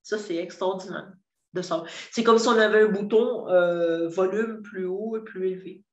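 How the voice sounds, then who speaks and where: A woman's voice: medium pitch, normal speed. Woman, 50s, Québécois accent, hesitant and earnest, echoey room, muffled lo-fi sound. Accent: Québécois French. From Quebec. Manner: hesitant, many fillers and restarts, earnest. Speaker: program client giving a testimonial. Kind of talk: candid personal testimony. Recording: roomy echo, dull lo-fi sound.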